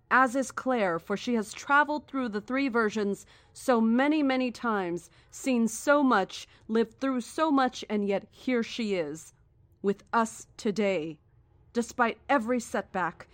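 An actress playing a cryptic, coldly certain cult founder in a horror audio drama, speaking in a measured, formal and deliberate way. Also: female voice, on the high side, dry recording, close to an everyday voice. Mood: calm, knowing.

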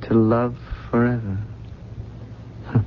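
An actor playing a gentle, wistful man without a past, halting and reflective, in a radio drama. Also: medium pitch, little pitch movement, lightly acted. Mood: wistful, tender. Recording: muffled, noisy 1970s radio broadcast.

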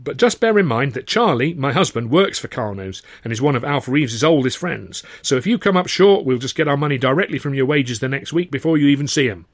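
A man's voice: mid-range voice, lively intonation, dry recording, voice pushed up. A man doing a cheerful, bustling landlady. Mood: cheerful chatter. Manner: brisk, bright and motherly.

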